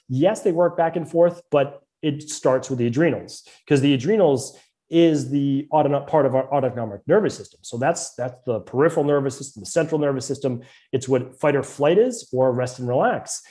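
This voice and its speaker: A man's voice: mid-range voice, a little fast, mellow. A man with a Boston accent, a naturopathic doctor explaining hormones and lab testing in an earnest, detailed way as a podcast guest. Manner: earnest, detailed, fluent medical explaining with frequent restarts. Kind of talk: explanatory interview talk.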